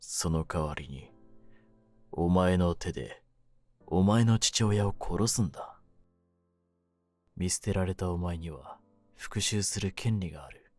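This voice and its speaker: A man playing a jaded, domineering yet secretly tender young mafia kidnapper in an audio drama, speaking low in a rough, cool, deliberate way. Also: a little mellow, no echo, faint music behind. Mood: commanding. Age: young adult. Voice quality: low-pitched. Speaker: voice actor in a situation voice drama. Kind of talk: Japanese situation-voice audio drama addressed to the listener.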